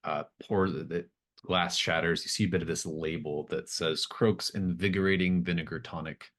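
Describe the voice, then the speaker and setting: A man's voice: low voice, fairly even intonation, normal speed, fairly bright tone. A man narrating as game master of a tabletop roleplaying game, improvising in a conversational, hesitant way as he thinks aloud.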